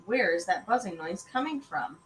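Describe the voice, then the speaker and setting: A woman voicing a calm, practical father in a children's storybook reading. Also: mid-range voice, moderate pace, natural voice. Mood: quiet, puzzled. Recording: echoey room with faint noise.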